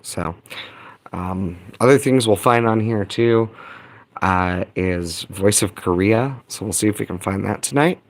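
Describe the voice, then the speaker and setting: Low-voiced American man rambling casually. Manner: unscripted, halting, thinking aloud. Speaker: hobbyist radio video host. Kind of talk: casual rambling hands-on demonstration. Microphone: phone.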